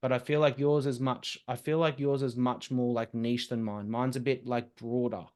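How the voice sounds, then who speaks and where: A young man, a podcast guest chatting casually and telling lively stories. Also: mid-range voice, clear, resonant tone. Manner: lively, quick storytelling with laughs and restarts. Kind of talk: casual conversation.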